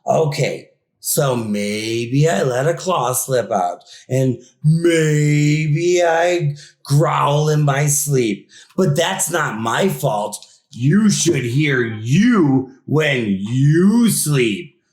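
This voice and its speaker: A man's voice: on the high side, lively intonation, extremely slow, darkish tone, full character voice. A man voicing a whiny, indignant monster with good manners, in a theatrical children's read-aloud style. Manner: theatrical, exaggerated complaining. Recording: echoey room.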